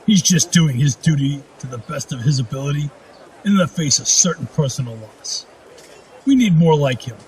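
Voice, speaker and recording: An actor playing a magnanimous noble duke in a fantasy radio drama, measured and formal. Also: male voice, fairly high pitch, lively intonation, darkish tone, slight background noise, lightly acted, low-quality recording. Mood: gracious. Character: magnanimous noble duke, dignified veteran lord.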